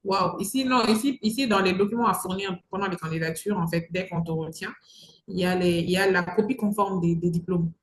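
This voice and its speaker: A woman's voice: lowish voice, a little fast. A young woman gives conversational advice as an interview guest, emphatically repeating phrases for stress. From Mali. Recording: roomy echo with faint background noise.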